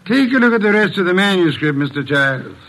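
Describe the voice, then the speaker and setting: An actor playing a shrewd, self-satisfied detective boss in a 1950s radio drama, speaking in a measured, articulate, dry manner. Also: male voice, high-pitched, animated, a bit bright, a little hiss or hum, rough recording. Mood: triumphant, dry.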